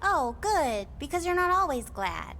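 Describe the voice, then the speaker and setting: A woman's voice: high-pitched, sing-song, a little slow, creaky, a bit bright, slight background noise, exaggerated voice. An actress playing a quirky, playful female alien mystic in a video game, with a whimsical, sing-song delivery. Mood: teasing. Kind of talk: video game cutscene acting.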